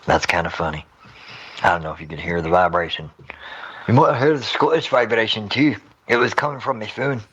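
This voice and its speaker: A man's voice: on the low side, brisk, fairly bright tone, husky, lo-fi sound. A man voice acting, running through takes with casual asides. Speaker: voice actor.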